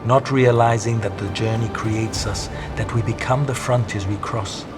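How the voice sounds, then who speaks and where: A man giving a poetic, scripted narration in a measured, reflective way. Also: on the low side, music in the background. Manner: slow, measured, reflective delivery.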